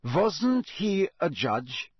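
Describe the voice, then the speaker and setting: A man doing a slow, wary young foreign athlete. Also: on the high side, very animated, slightly rough, dry recording, voice pushed up, full character voice. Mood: uneasy.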